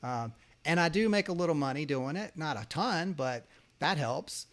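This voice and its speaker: A man's voice: on the high side, expressive, moderate pace. A man hosting a podcast, chatting in a casual, enthusiastic way and talking about his own experience. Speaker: podcast host. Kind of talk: casual interview chat.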